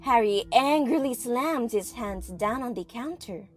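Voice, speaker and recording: A woman narrating an audio play in a theatrical, suspenseful manner, pausing for effect. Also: on the high side, animated. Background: music.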